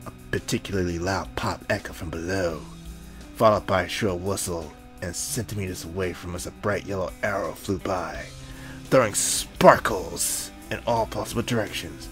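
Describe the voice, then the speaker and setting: A man with a low voice reads a visual novel aloud as a gaming host. He is jokey and casual, stumbling now and then and correcting himself. Music plays softly behind him. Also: normal speed. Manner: jokey, slips and corrects himself while reading. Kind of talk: reading a visual novel aloud with joking asides. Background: background music.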